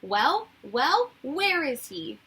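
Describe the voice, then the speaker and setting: A woman's voice: high voice, very animated, very slow, pushed and bright, heavily acted. A woman voicing a fuming, reproachful little girl in a children's storybook, accusing and indignant in manner. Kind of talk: children's storybook read-aloud.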